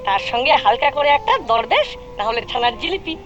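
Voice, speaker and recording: Cheeky cartoon kid, a young boy, played by a woman, high voice over faint music. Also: very animated, brisk, highs cut off. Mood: teasing. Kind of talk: exaggerated cartoon voice acting.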